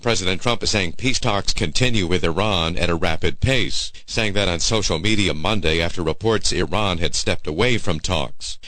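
A man's voice: low-pitched, moderate pace, hardly any pauses, sharp tone, no room sound. A man reading a scripted radio news bulletin as an anchor.

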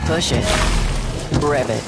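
A woman reading a scripted radio ad in a punchy, crisp delivery. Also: a bass voice, slow pace, over loud music. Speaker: ad voice-over.

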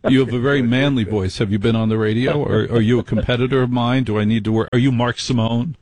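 A male late-night radio host with a somewhat husky voice and a wry, teasing delivery. He jokes and banters with callers in a dry, close studio sound. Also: mid-range voice, steady tone, quick pace, a little mellow, no room sound. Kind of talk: call-in radio talk.

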